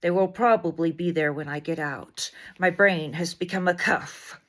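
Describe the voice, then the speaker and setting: A woman reading poetry aloud as dramatic spoken word, in an intense, deliberate delivery of short, weighted phrases. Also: fairly low pitch, a bit bright. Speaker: poet reading poems aloud.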